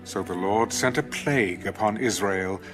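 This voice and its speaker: A man's voice: lowish voice, moderate pace, music in the background, natural voice. A man narrating scripture from a script in a formal, solemn manner.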